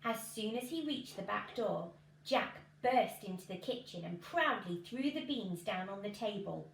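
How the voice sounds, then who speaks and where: A woman's voice: medium pitch, lively intonation, unhurried. A woman in her thirties with an English accent, reading a children's storybook expressively. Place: small living room, indoors. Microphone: camera a couple of metres away. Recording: roomy home recording with clear background noise.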